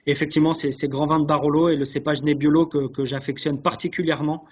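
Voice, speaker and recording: A man's voice: a little high, flat delivery, fast, slightly rough. A man answering interview questions earnestly, hesitating and repeating words as he searches, on dull webinar audio. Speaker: guest, chef sommelier. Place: online video call.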